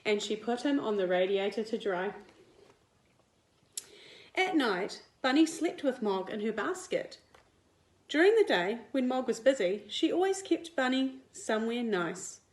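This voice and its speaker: A woman, a teacher reading a picture book aloud to young children in an expressive, deliberate way. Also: a little high. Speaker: primary school teacher. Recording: roomy indoor echo.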